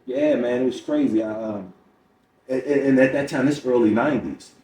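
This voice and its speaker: A man's voice: mid-range voice, normal speed, dark tone. A middle-aged man speaking candidly as a comedian guest on a podcast, telling stories and quoting people as he goes. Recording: roomy echo, distant mic, faint noise.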